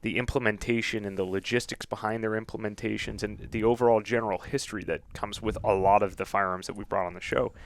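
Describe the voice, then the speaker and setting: A man from Boston, a guest on a podcast, speaking earnestly and in a reflective, measured way. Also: on the low side. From Boston, North Shore. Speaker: guest, YouTube channel co-host. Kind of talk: casual podcast chat. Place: dark studio set with leather chairs.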